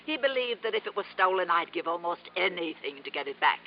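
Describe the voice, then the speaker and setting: A muffled, hissy old radio drama carries a wealthy eccentric aunt, an older woman with a high, very lively voice. She is theatrical and willful, with a sentimental streak beneath the imperious manner. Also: fast. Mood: defensive, proud. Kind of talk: 1950s radio drama acting. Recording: muffled, noisy vintage radio recording.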